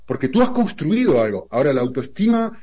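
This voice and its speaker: A man with an Argentine accent teaches in a relaxed, conversational way. He leans on examples and rhetorical questions to build his point. His voice sits a little higher than most men's. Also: fairly quick, husky. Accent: Argentine Spanish.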